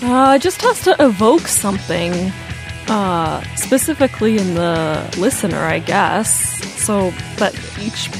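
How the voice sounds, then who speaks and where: A woman's voice: medium pitch, expressive, measured pace, music in the background. A woman on a radio panel who talks casually with fillers and light laughter. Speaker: radio show social media staffer.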